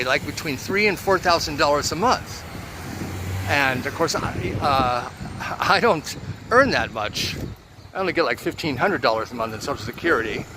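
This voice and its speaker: A man in his seventies talking about his life in a relaxed, reflective monologue, with many pauses. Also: on the high side, background noise. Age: 70s. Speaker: vlogger. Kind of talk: casual personal monologue.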